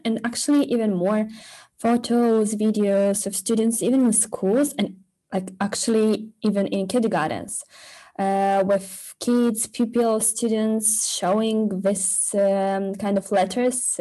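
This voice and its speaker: A woman's voice: medium pitch, dark tone, low-quality recording. A young woman hosting a podcast interview, reading a scripted intro and then talking more loosely with fillers. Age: young adult. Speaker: podcast host.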